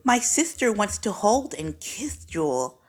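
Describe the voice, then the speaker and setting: A woman reading a children's story aloud in an expressive, clearly phrased way. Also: medium pitch, unhurried. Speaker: storybook reader.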